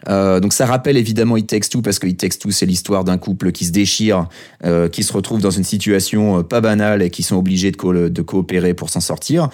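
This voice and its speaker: A man with a fairly low voice talks casually on a podcast. He pauses often and fills gaps with hesitations, yet he sounds keen. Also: fairly even intonation, darkish tone. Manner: hesitant, many "euh" fillers, enthusiastic. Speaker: podcast co-host.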